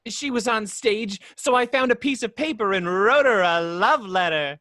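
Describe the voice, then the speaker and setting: A woman's voice: mid-range voice, moderate pace, lightly acted. A woman voicing a frantic, pleading, naive male cartoon rabbit in an amateur table read of a film script. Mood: earnest chatter. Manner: pleading, excitable.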